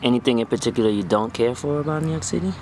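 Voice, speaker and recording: A man's voice: mid-range voice, racing. A man interviewing people on the street, asking easygoing questions and filling the gaps with short affirmations. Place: outdoors on a city street. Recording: noisy street with traffic.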